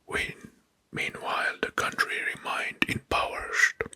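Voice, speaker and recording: A man narrating facts as an ASMR host in a hushed, breathy whisper, deliberately repeating phrases. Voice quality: breathy, mostly unvoiced whisper.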